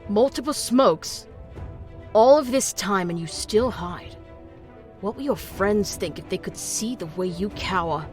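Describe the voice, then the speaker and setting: A woman's voice: mid-range voice, expressive, a little slow, soft background music, lightly acted. A woman playing a menacing, sneering monstrous villain. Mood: scornful. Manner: sneering, taunting, pompous. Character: menacing father turned monster by a parasite, arrogant, cruel. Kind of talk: audio drama acting.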